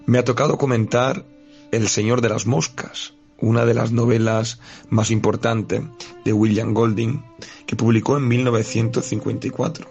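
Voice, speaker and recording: A man with an Italian accent, a guest philosophy teacher, talking in a hesitant way with many pauses and fillers. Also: mid-range voice, flat delivery, gravelly, a little mellow, highs cut off, soft background music. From Italy. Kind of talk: casual spoken commentary.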